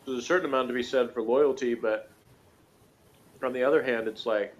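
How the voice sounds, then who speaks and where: A man with a medium-pitched voice talks through a review in a loose, conversational way. He rambles and pads his points with small filler phrases. The recording is dull and a little lo-fi. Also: brisk. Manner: rambling, hedging, conversational, with frequent "you know" and "like". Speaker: guest reviewer of a TV series. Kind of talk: casual review chat.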